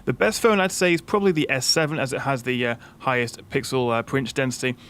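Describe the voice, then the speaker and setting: Fast-talking British man walking through a tech setup. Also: medium pitch, a bit bright. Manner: chatty, frequent "uh" fillers. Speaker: tech channel host. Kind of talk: product setup walkthrough.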